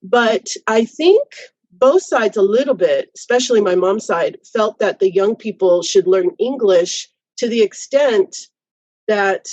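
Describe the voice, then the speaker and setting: A woman's voice: mid-range voice, lively intonation, unhurried, a little mellow, no room sound, dull audio. A woman in her fifties, a college professor, telling her own story thoughtfully with frequent restarts and "you know.". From United States. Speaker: college professor, podcast guest. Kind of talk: reflective personal storytelling.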